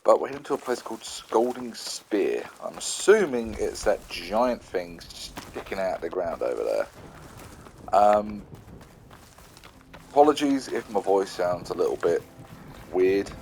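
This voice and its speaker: Brief: very deep man with a cold, rambling gameplay commentary, faint hiss on the mic. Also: big pitch swings, unhurried, darkish tone. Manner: chatty, rambling. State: has a cold, throat rough. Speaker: gaming channel host.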